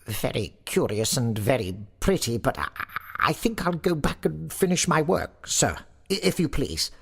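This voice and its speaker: Stammering, terrified sexton, pitched up. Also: male voice, big pitch swings, creaky, a bit bright, slightly rough, exaggerated voice. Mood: fearful pleading. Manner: stammering, halting, timid. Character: terrified, meek male sexton, stammering and deferential.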